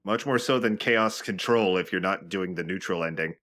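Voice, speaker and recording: A man's voice: low voice, normal speed, a bit bright, a little hiss or hum. A man co-commentating on a video game with casual, joking banter. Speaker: let's play co-commentator. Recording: game music behind the voice. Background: video game music and effects.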